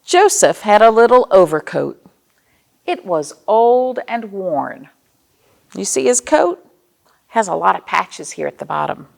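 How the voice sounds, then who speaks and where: American woman, children's storytime reader, unhurried pace, low-set pitch, expressive, simple questioning delivery. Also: creaky. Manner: expressive, simple explanations with questions to young listeners. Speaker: children's librarian, storytime host. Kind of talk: read-aloud storytime for young children.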